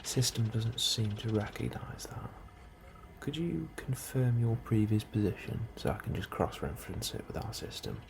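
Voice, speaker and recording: A man doing a soft, breathy ASMR role-play as an unhurried, politely understated officer interviewing a newcomer. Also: on the low side. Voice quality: soft, breathy, often near whisper. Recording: steady ambient noise behind the voice. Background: computer and ambience sounds.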